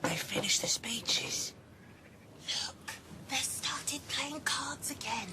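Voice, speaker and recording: A wondering farm creature in a radio play. The voice is high and whispery, slow, with lilting swings of pitch. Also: female voice. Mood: awed, anxious. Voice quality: breathy, whispery. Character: hushed, wide-eyed female farm animal watching in wonder. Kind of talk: radio drama acting.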